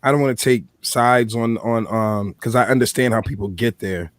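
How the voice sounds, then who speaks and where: A man with an American accent and a slightly hoarse voice, hosting a podcast in casual, reflective talk. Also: mid-range voice, steady tone, normal speed, no echo. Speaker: podcast host.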